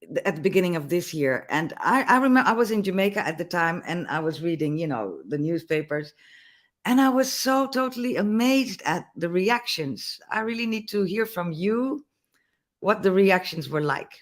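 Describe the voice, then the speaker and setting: Man, host, fairly high voice, eager, stumbles over starts, video call. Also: expressive, moderate pace. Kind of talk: live online interview.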